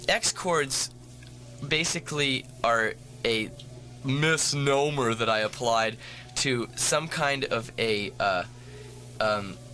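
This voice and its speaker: A man's voice: mid-range voice, bright, forceful tone. A man being interviewed as a musician guest on a campus radio show, rambling with wry jokes and asides.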